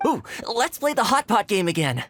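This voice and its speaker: A man's voice: high-pitched, moderate pace, very noisy. A man voicing an easygoing, chatty young retainer in a video game cutscene. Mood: wistful playful. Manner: casual, chatty, expressive. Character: easygoing, friendly young male retainer in hiding.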